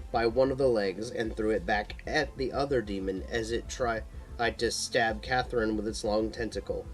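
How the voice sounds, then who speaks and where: A man narrates an action horror story in an amateur audiobook style. His voice is mid-pitched, with occasional stumbles and repeats, and faint music plays underneath. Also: poor audio. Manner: stumbles and repeats words while reading.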